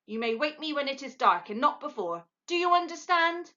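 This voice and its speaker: A cranky father owl, dragged from his sleep, grumbles and blusters in a gruff, loud voice, as a woman reading a storybook puts him on. The recording sounds a little dull and lo-fi. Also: mid-range voice, lively intonation, slow. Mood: grumpy.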